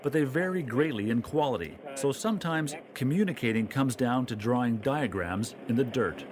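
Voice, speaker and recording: A man giving calm, scripted documentary narration. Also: mid-range voice, moderate pace, background noise.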